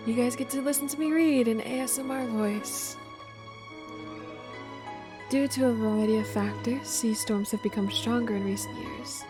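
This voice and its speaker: A woman's voice: fairly high pitch, measured pace, pauses often. A woman livestreaming a game, chatting casually and reading the on-screen text aloud. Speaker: streamer. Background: game music.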